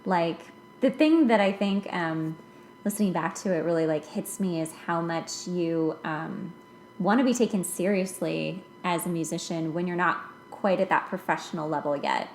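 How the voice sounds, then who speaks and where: A woman's voice: fairly low pitch, normal speed. Female podcast host, casual and chatty, roomy sound with some background noise. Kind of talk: casual conversational discussion.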